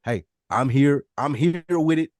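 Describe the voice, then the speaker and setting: A man's voice: on the high side, unhurried, a little mellow, no echo, studio quality. A man talking casually in a group podcast discussion, blunt and slangy, with easy swearing. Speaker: podcast panelist.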